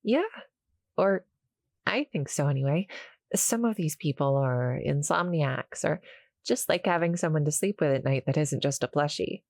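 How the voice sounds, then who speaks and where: A woman's voice: deep voice, animated, dry recording, studio quality. Woman voicing a shy, stammering little monster girl. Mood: friendly, reassuring. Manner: nervous, halting, stammering, soft-spoken explaining. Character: small, shy, friendly cuddle-monster girl, gentle and apologetic. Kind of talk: roleplay audio acting addressed to the listener.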